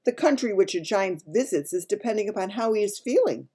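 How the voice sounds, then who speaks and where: A woman voicing a gentle, runty male giant from a children's storybook, talking in muddled grammar and made-up words. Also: medium pitch, animated, a little fast, husky, voice pushed up. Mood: explaining. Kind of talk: dramatised children's storybook reading.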